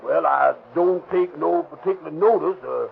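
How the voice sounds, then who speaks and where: An actor playing a nervous, evasive, simple witness, stammering and deferential in folksy rustic speech, in 1930s radio drama style. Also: male voice, fairly high pitch, moderate pace, gravelly, slight background noise. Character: nervous, evasive, simple male eyewitness at a dump. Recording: tinny, band-limited 1930s radio recording.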